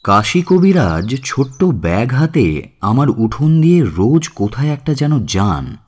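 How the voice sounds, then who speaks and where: An actor playing a sceptical, educated villager who narrates a Bengali audio story in an expressive, wry way, with a Bengali accent. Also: male voice, medium pitch, unhurried. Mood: narrating.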